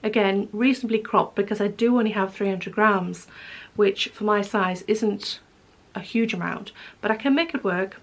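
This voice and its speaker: A woman, hoarse and croaky today, chatting on camera about her knitting plans and correcting herself as she goes. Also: medium pitch. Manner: chatty, rambling, self-correcting. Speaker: knitting vlogger. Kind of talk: casual chat showing yarns to camera.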